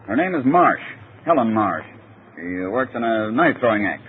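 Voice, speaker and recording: An actor playing a deferential theater manager with a measured, slightly hesitant manner in an old-time radio drama. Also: male voice, on the low side, big pitch swings. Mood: polite, measured. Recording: tinny, noisy old radio recording.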